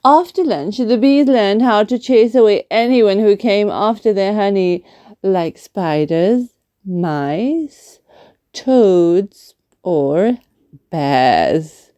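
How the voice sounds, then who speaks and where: A woman reading a children's picture book aloud as a storyteller, in a deliberate, expressive narrating style. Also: mid-range voice, no echo.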